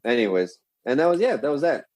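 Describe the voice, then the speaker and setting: A man's voice: medium pitch, quick pace, dry recording. Profane, rambling male podcast guest. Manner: profane, rambling, animated storyteller. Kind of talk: casual storytelling chat.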